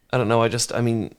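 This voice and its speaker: A man acting as a tender, bashful housemate in an ASMR romance drama. He has a mid-pitched voice and talks softly and intimately, with a halting, smitten manner. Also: smooth, close to an everyday voice. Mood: awkward, concerned. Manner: halting, gentle, intimate, flustered.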